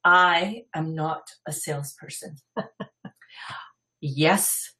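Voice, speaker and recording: Hoarse woman, slightly off mic. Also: low-pitched, extremely slow, pushed and bright, distant mic. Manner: coughs now and then, agreeable interjections. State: hoarse, recovering from losing her voice. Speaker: salon business consultant, co-host. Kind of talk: casual webinar chat.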